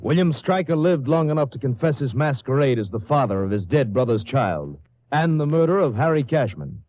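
A man's voice: mid-range voice, sing-song, a little fast, faint music behind. A man reading formal, factual scripted narration as a 1950s radio announcer. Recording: dry, dull 1950s radio recording.

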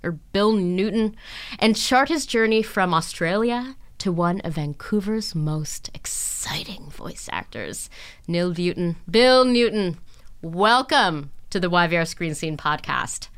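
Low-voiced woman hosting a podcast. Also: expressive, unhurried, clean tone. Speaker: podcast host. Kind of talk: casual, chatty interview.

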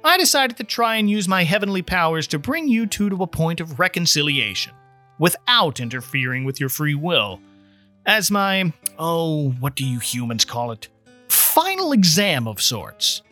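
A man's voice: a little high, sing-song, normal speed, pushed and bright, soft background music, pitched above the performer's own voice. A man voicing an earnest, impatient trainee guardian angel who explains things with mild condescension in a storybook reading. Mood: eager, explaining. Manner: explanatory, mildly condescending toward humans.

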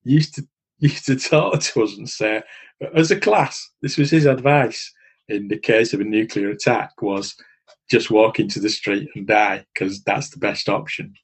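A middle-aged man chatting casually as a podcast guest, rambling and animated. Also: fairly low pitch, measured pace, husky. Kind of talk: casual chat.

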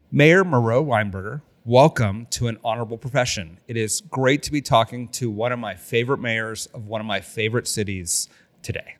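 A man co-hosting a podcast, reading a scripted intro and then chatting in a friendly, relaxed way. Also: medium pitch. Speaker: podcast co-host.

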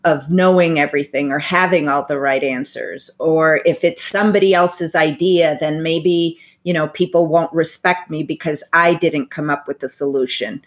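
A woman in her forties, a financial advisor, giving thoughtful, measured interview answers with frequent asides. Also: deep voice, steady tone. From United States, parents from Bolivia.

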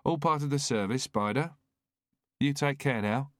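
A man voicing a brisk, matey armed police officer in his forties for an audiobook. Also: mid-range voice, dry recording, clean studio sound, pitched above the performer's own voice, natural voice. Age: 40s. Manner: barked commands, easy matey asides. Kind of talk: audiobook character voice.

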